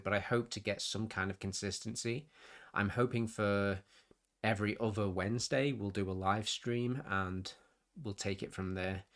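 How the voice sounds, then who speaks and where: A man's voice: on the low side, a bit bright. A man hosting his own hobby live stream with a nasal, sniffly voice, rambling casually and correcting himself as he goes. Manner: rambling, self-interrupting, chatty. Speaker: YouTube hobby channel host.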